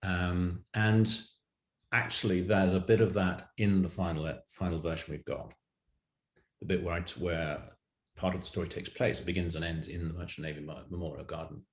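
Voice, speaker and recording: A middle-aged man telling reflective anecdotes as an interview guest, in a measured, halting way. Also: low voice, little pitch movement. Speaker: writer and actor, interview guest. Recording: roomy, echoing sound.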